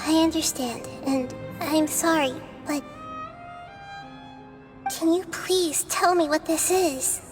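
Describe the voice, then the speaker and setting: A woman playing a gentle, wise little girl deity in a video game, speaking politely and earnestly. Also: sits high, slow, long pauses, music in the background. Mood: apologetic, curious.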